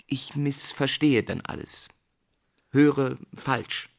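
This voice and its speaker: A man's voice: mid-range voice, slow pace, creaky. An actor playing a gifted young male teacher in a drama, defensive and brittle, clipped and proudly self-assured. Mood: subdued. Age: young adult. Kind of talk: German stage-style radio play dialogue.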